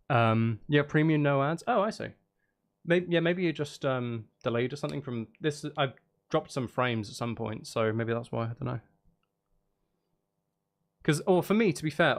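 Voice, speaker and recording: A man chatting casually on a live stream, rambling and thinking aloud in a hesitant way. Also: medium pitch. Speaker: live streamer composing game music.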